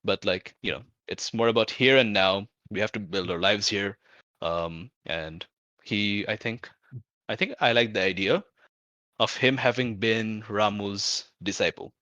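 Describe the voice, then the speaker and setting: A man with a fairly deep, bright voice talks through tabletop game ideas as a player. He speaks informally and haltingly, working out his thoughts as he goes, in a dry, close sound. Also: dry recording, muffled recording. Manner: halting, thinking aloud, informal with swearing. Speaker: player in a tabletop roleplaying show. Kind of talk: casual out-of-character game discussion.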